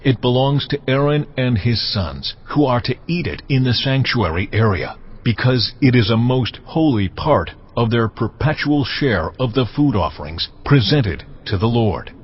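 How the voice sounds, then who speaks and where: A man playing God in a dramatized audio Bible, giving laws in a solemn, measured, commanding manner. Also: mid-range voice, lively intonation, a little hiss or hum, natural voice. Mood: solemn, instructing. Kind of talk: dramatized scripture reading. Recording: dull lo-fi audio, music underneath.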